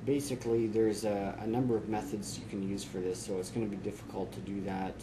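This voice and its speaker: A man in his forties explaining computer steps to camera in a relaxed, chatty way with frequent fillers, filmed in a small office. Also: on the low side, fairly quick, noisy background. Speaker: tech tips host. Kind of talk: casual how-to explanation to camera.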